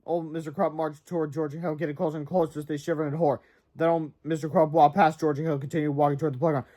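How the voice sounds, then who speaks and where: Slightly high-pitched man reading a storybook aloud. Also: level tone, very fast, dark tone. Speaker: read-aloud narrator. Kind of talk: storybook narration with casual asides.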